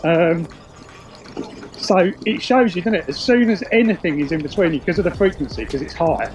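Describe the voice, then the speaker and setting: A man's voice: a little high, normal speed, slight background noise. A British man talking casually and unscripted outdoors as he tests some gear on a wireless headset. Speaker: vlogger testing a wireless intercom. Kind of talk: casual unscripted chat while testing gear. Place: outdoors by a riverside path. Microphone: wireless intercom headset. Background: music.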